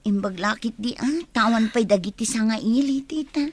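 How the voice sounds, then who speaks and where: A woman playing an excitable, anxious young village friend in a radio drama, speaking with an Ilocano accent in an exclamatory way. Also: a little high, moderate pace, dry recording, close to an everyday voice. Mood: subdued, apologetic. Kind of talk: Filipino radio drama acting.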